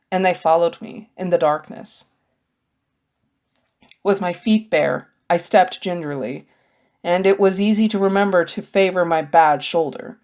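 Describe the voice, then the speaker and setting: A woman's voice: lowish voice, a little mellow. A woman narrating a scripted first-person story as an audiobook reader.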